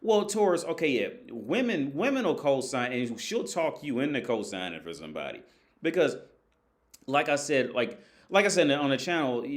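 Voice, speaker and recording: American man, casual livestream host, lively swooping delivery, swears freely and laughs a lot. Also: mid-range voice. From United States. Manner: loose, profane, laughing, animated.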